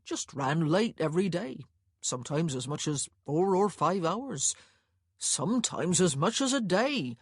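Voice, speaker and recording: A woman's voice: on the low side, sing-song, unhurried, breathy edge, no room sound, studio quality, full character voice. A woman voicing a nosy, officious male stagecoach clerk in an audiobook, chatty and rambling. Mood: easygoing, long-winded. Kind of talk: acted audiobook dialogue.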